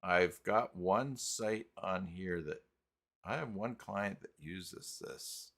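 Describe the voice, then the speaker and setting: A man hosting a live stream tutorial in a casual, halting way, thinking aloud as he goes. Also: deep voice, vocal fry, fairly bright tone. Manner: halting, thinking aloud while clicking around. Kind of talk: casual live tutorial talk, reading chat.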